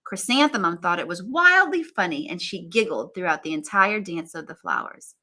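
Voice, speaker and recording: A woman reading a picture book aloud in an expressive, animated teacher's manner, with asides to young listeners. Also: lowish voice, normal speed. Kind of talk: expressive children's story read-aloud.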